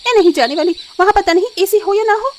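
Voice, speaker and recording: A woman playing a shrill, sulky young sister-in-law. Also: fast, slight background noise. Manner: whiny, snappish complaining. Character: sulky, haughty young city-minded sister-in-law, complaining.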